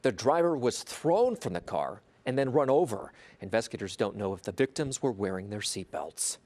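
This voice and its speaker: A man's voice: on the low side, animated, normal speed, darkish tone. A man anchoring a local evening newscast, reading scripted stories and handing off to reporters. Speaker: news anchor.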